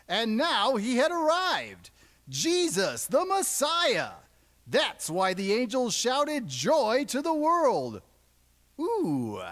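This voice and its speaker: A man's voice: sits high, fairly bright tone, full character voice. A man voicing an upbeat puppet radio DJ with a showy, exaggerated announcer delivery. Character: upbeat male Christmas Eve radio DJ puppet. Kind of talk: puppet show radio DJ patter.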